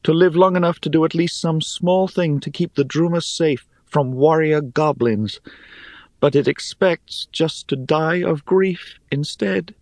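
A man's voice: a little high, unhurried, a little mellow, dry recording, pitched above the performer's own voice. A man voicing an earnest, emotional young woman in a fantasy audiobook, with an intense, heartfelt manner. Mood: sorrowful. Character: earnest, emotional young female friend of the hero.

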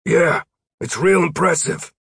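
A man's voice: mid-range voice, animated, moderate pace, vocal fry, pushed and bright, husky, no room sound, clean studio sound, full character voice. A man voicing a sly older male wizard in an audiobook, breezy, folksy and teasing as he talks persuasively. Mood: breezy, dry.

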